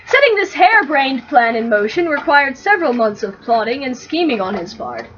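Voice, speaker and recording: A woman reading a comic story aloud as its narrator in an animated storytelling style. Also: high voice, moderate pace, a little hiss or hum. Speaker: reader of comic fan fiction. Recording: slightly dull, lo-fi.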